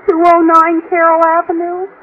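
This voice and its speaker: An actress playing an anxious, naive teenage daughter in a 1950s radio sitcom. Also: female voice, very high voice, monotone, normal speed. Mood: alarmed, curious. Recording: very noisy, tinny old radio recording.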